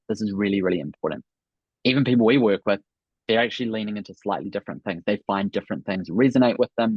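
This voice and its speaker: A New Zealand man in his thirties talks through a business coaching webinar in an upbeat way, often checking in with "right?". His voice is low and a little husky. The sound is dry and slightly dull. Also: no echo. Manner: upbeat, repeats "right?" and "really, really".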